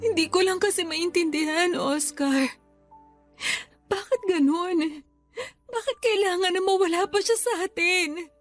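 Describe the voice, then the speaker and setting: A woman's voice: very high-pitched, a little slow, long pauses. A woman acting a grieving, self-blaming wife in a radio drama, pleading and tearful. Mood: anguished. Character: Alma, grieving, self-blaming wife who lost her babies. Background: music.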